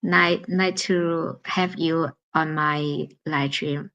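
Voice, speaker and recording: Slow, low-voiced woman with Vietnamese accent. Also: fairly even intonation, a bit bright, muffled recording. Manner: halting, searching for words, with many pauses. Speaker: live stream host. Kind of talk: casual solo live stream talk.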